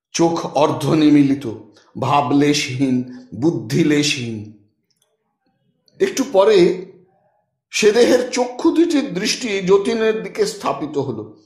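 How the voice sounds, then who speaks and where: A man narrating a literary audiobook expressively, with dramatic, deliberate phrasing and pauses. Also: high-pitched, darkish tone, reverberant.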